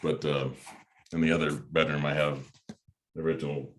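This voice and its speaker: A man hosting a movie review chat in a casual, halting way, often repeating his words. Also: a bass voice, flat delivery. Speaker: host of a movie review channel. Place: home room with a desk, on a video call.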